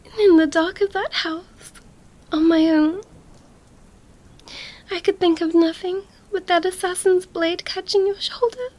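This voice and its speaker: A very high-pitched young princess, acted by a woman, speaks slowly in a dramatic ASMR roleplay. She is regal but tender, with a halting, emotional delivery full of feeling for her knight. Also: darkish tone. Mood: shaken, tender.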